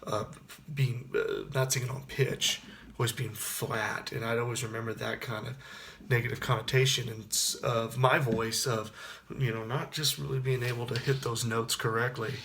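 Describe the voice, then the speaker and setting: A man in his thirties from Texas, with a Texas accent and a baritone voice, talking casually to camera and rambling with many restarts. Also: level tone, moderate pace, creaky, a bit bright, a little hiss or hum. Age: 30s. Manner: rambling, with frequent restarts and fillers. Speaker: actor and singer. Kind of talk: casual spoken reflection to camera.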